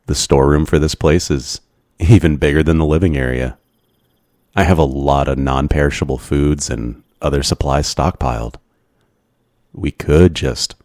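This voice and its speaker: A man performs an awkward, lovestruck young survivor in an ASMR boyfriend roleplay. His voice is extremely low and unhurried, with hesitant, soft-spoken phrasing and an obsessive devotion. The recording is close and dry. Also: no echo. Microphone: close microphone, dry sound.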